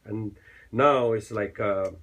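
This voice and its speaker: A man with a fairly low voice speaks as a chef guest in a radio studio. He explains in a relaxed way, filling pauses with uh and um. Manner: relaxed, explanatory with many fillers. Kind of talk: studio interview.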